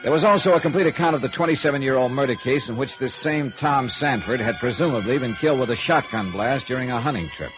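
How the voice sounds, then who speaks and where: An actor playing a hard-boiled, dry, probing American insurance investigator in 1950s radio noir, clipped and wry. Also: male voice, mid-range voice, quick pace, hardly any pauses, lightly acted. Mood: dry, matter-of-fact. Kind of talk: 1950s radio noir acting with first-person narration. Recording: dull vintage radio recording with music.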